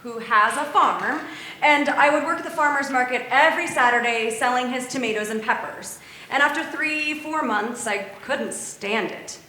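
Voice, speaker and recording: A woman's voice: sits high, moderate pace, pushed and bright, slight background noise. A woman delivering dry, teasing dialogue and a short story in a live staged reading. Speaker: stage actor. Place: theater stage. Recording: roomy live stage recording.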